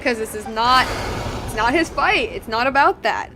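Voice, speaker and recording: A woman giving casual live commentary as she plays a game, excitable and rambling. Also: on the high side, moderate pace. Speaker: game streamer. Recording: game music behind the voice.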